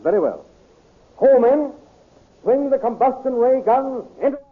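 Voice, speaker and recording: An actor playing a ruthless, imperious tyrant prince in a 1930s radio serial. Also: male voice, extremely high pitch, lively intonation, normal speed, noisy background, voice pushed up. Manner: cold, imperious. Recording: tinny 1930s radio transcription.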